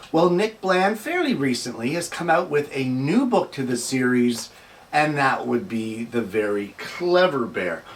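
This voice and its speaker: A man hosting a show for families and reading a children's picture book aloud in an expressive, measured way. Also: on the high side. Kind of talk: casual introduction, then expressive storybook read-aloud.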